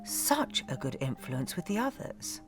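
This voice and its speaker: A woman's voice: a bass voice, very animated, unhurried, soft background music, heavily acted. An actress reading the letters of an elderly Queen Victoria in a fond, earnest manner. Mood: fond, tender.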